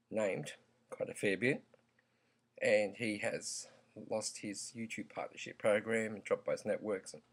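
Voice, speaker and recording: A croaky-voiced man talks straight to his viewers at an unhurried, deliberate pace. He pauses often and fills the gaps with ums. His tone stays earnest throughout. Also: on the low side. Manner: slow, halting, earnest, with many ums. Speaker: vlogger. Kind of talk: opinion vlog.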